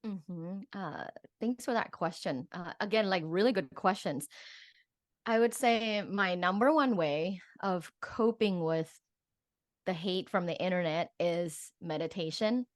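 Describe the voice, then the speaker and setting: Woman from Thailand, lower-pitched voice, informal interview answers, frequent "like", very dry sound. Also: measured pace, dry recording. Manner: informal, peppers speech with "like". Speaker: guest, professor and sexologist. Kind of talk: casual interview chat.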